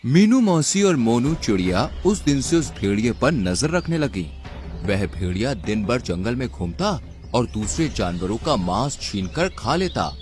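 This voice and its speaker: A man's voice: mid-range voice, sing-song, unhurried, a little mellow, faint music behind. A man narrating a scripted children's story as the storyteller.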